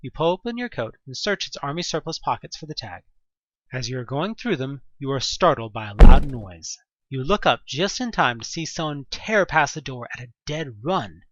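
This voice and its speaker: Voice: mid-range voice, sing-song, moderate pace, fairly bright tone, no room sound, highs cut off. A narrator reading a scripted second-person story for an audio drama.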